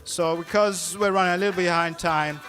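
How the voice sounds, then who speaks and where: A man with a fairly high voice works as host of a live symposium, introducing the next speaker. His delivery is that of an event introduction, and faint music plays behind him. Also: fairly even intonation, a little fast, fairly bright tone.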